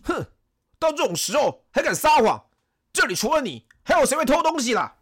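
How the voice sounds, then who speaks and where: A woman's voice: high voice, sing-song, a little fast. A woman voicing a hot-tempered male army general who blusters and accuses. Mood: stern.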